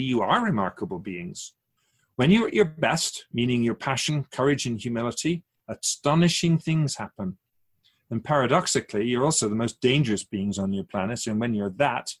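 A man playing a calm, wise otherworldly keeper of souls in a radio drama, speaking formally and instructively to humans. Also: mid-range voice, breathy edge. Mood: solemn, urging. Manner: measured, formal, didactic, rhetorical questions. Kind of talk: radio drama dialogue, philosophical counsel.

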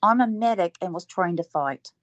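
A woman's voice: lowish voice, lively intonation, normal speed, no echo, close to an everyday voice. A blunt young medic, played by a woman, talks plainly and with insistence. Her voice sits close and dry, with no room sound. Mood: earnest.

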